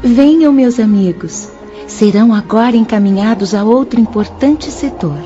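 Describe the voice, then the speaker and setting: A kindly female spirit guide, played by a woman speaks in radio drama style. The voice is fairly high. The sound is a little muffled, with music behind. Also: moderate pace, close to an everyday voice. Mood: inviting. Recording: dull audio with background music.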